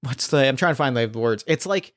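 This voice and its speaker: A man wraps up a tabletop session in loose, meandering talk studded with filler words. His voice is smooth and resonant and sits at an ordinary pitch. The recording is dry and close. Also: expressive, brisk, dry recording. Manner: rambling, heavy use of "like" fillers. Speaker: game master and podcast host. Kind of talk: casual post-game chat.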